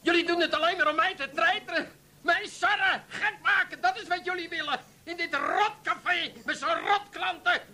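A man's voice: very high voice, moderate pace, sharp tone, full character voice. A man playing a stiffly formal, put-upon café keeper with a pointed, theatrical manner in a comic radio play. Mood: hysterical rage.

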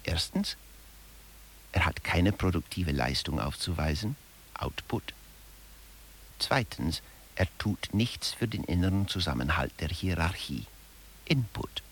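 A man's voice: low-pitched, animated, unhurried, pauses often, a bit bright, a little hiss or hum, full character voice, rough recording. A man playing a pedantic professor in a satirical radio play, summing up findings with emphatic, scripted delivery. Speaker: lecturer. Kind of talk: scripted satirical lecture narration.

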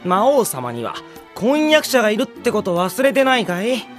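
Smug, lively teenage playboy. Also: male voice, sits high, normal speed, soft background music. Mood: teasing. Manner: suave, teasing, self-satisfied. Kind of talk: Japanese visual novel audio drama acting.

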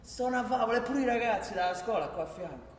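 An actor playing a bitter, sarcastic working-class resident in a radio play, indignant and speaking with a Roman accent. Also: male voice, high voice, expressive, fast, a little hiss or hum. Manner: sarcastic, indignant, listing grievances. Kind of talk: theatrical radio play dialogue. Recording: echoey hall.

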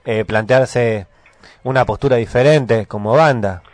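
Argentine radio host, dry close sound. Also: male voice, mid-range voice, measured pace, no echo. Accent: Buenos Aires Argentine Spanish. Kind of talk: casual radio interview.

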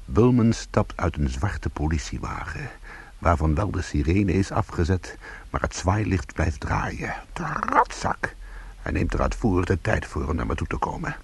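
A man's voice: sits low, very animated, unhurried, husky, full character voice. A man playing a bitter, sardonic small-time ex-convict in a Dutch radio play, protective and combative. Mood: tense narrating. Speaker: lead actor and first-person narrator.

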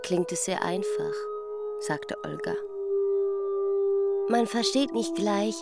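Village woman, unhurried, pensive, deliberate, mid-pitched, radio drama, loud chiming music backdrop. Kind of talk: German radio play acting. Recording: mallet and singing-bowl music under voice. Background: ringing mallet and bowl music.

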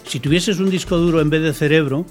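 A man's voice: on the high side, steady tone, brisk, faint music behind. A man with an accent from Spain co-hosting and explaining things in a scripted, didactic but conversational way. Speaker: co-host commentator, explainer.

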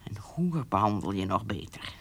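A woman's voice: a bass voice, expressive, normal speed, gravelly, breathy edge, exaggerated voice. An actress playing a proud, unforgiving old woman in a radio drama, with a curt, cutting manner. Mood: bitter, cold. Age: older. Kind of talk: naturalistic radio drama dialogue.

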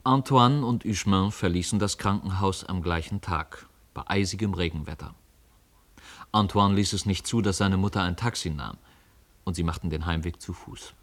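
Very deep-voiced male storyteller. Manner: measured, storytelling. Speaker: narrator. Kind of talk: scripted literary narration for radio play.

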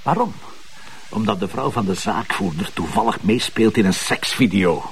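An actor playing a blunt, sardonic, impatient police inspector with a Flemish accent in a crime drama. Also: male voice, lowish voice, moderate pace, slightly rough. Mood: angry, insistent. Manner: confrontational, sardonic. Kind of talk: naturalistic TV crime drama dialogue.

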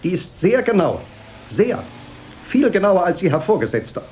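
A man's voice: sits high, very animated, moderate pace, darkish tone, slight background noise. A man playing a self-important, nagging company director in a radio play, curt and sarcastic, hammering words by repeating them. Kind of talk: 1970s German radio play acting.

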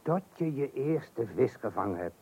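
Gravelly, husky, eager chum. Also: male voice, medium pitch, sing-song, normal speed, mellow. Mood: coaxing, enthusiastic. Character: eager, genial angling enthusiast, agreeing and coaxing. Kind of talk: comic radio play acting. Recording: dull old radio recording.

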